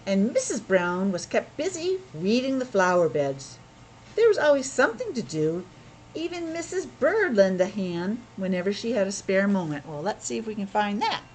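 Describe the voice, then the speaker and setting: Woman reading aloud with lively, swooping pitch. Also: normal speed, slight background noise, poor audio. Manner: chatty, explaining and questioning as to young children. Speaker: teacher reading a picture book aloud to children. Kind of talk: read-aloud storytelling with casual asides.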